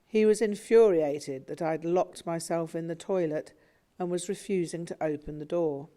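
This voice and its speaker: A woman narrating a memoir audiobook in a measured, reflective way. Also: on the low side, warm tone.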